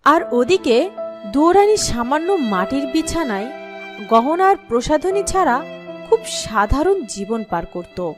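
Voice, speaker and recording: High, expressive female storyteller. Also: measured pace, music in the background. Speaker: narrator. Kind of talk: scripted fairy-tale narration.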